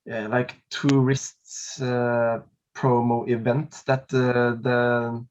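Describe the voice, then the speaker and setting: A man's voice: medium pitch, flat delivery, extremely slow, muffled recording. A man, answering interview questions over a video call, hesitant with many fillers and small laughs. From Norway. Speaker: singer and documentary subject. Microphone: laptop webcam mic on a video call.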